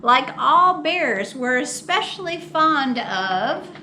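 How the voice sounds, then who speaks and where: A woman reading a children's picture book aloud as a storytime host, expressive and theatrical with drawn-out words. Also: high voice, a little hiss or hum. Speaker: storytime host at a public library.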